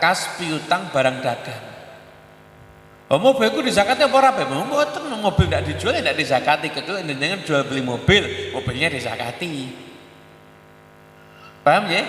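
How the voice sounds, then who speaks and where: Bright, echoey joking preacher. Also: male voice, on the high side. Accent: Javanese. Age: 30s. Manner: jokey, mixes languages, acts out audience questions. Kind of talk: Islamic sermon. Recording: echoey hall recording with hum.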